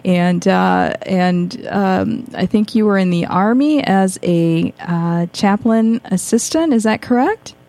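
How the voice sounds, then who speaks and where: A woman's voice: medium pitch, takes it slowly, vocal fry, few pauses, darkish tone. A middle-aged woman hosting a radio show, introducing it and interviewing a guest.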